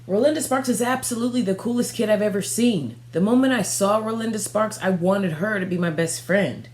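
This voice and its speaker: A young woman reading a children's storybook aloud, narrating expressively in the first person with dramatic pauses. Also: medium pitch, darkish tone. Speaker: storybook reader. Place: small furnished room at home.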